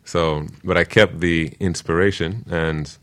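Man, low voice, guest teacher, conversational, measured, informal, passionate explainer. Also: steady tone, fairly bright tone.

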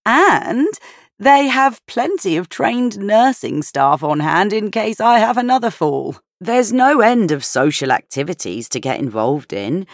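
A woman's voice: deep voice, no room sound, clean studio sound. A woman voicing a cheerful, chatty, genteel grandmother in her eighties in an audiobook. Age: 80s.

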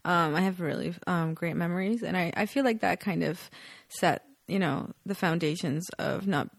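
A young woman from Canada whose first language is Polish, chatting as a guest in a relaxed interview and laughing often. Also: fairly low pitch, moderate pace, vocal fry, dry recording, studio quality. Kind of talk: casual interview chat.

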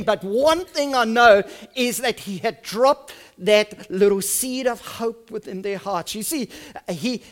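High-voiced Afrikaans-accented male pastor. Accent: South African Afrikaans. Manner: earnest, swings from soft and hushed to loud and emphatic. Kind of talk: sermon with personal storytelling.

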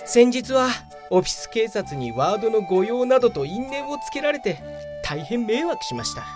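Woman voicing an animated, self-righteous young office guy. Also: fairly high pitch, normal speed, over music. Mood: aggrieved. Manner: animated, grumbling, self-assured. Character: young male office worker, self-righteous and easily aggrieved. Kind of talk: Japanese audio drama acting.

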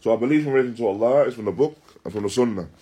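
Slightly husky man giving a religious lesson. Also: mid-range voice, racing, mellow. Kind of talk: Islamic lecture explaining a text, with Arabic recitation. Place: live-streamed lesson at an Islamic centre.

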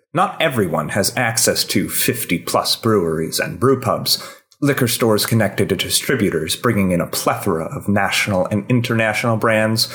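A man's voice: on the low side, a bit bright. A man hosting a podcast and reading a scripted personal essay aloud.

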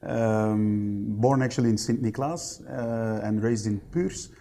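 A man in his thirties with a Belgian accent, answering questions as a podcast guest in a conversational, somewhat halting way. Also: on the low side, gravelly, dark tone. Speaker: interview guest, company general manager.

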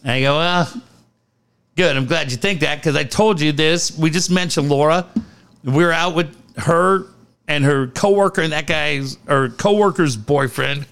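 A middle-aged man co-hosting a casual podcast, telling profane, animated stories. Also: a little high, moderate pace, clean tone. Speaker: podcast co-host.

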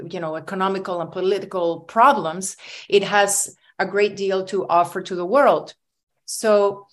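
A woman from Venezuela, a singer and bandleader, speaking earnestly in a radio interview, often restarting and saying "you know". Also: lowish voice.

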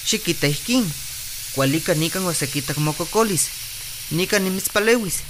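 An actor playing a calm clinic doctor in a radio drama, explaining and reassuring. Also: mid-range voice, normal speed, background noise, close to an everyday voice. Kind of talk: radio drama narration and acting. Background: music.

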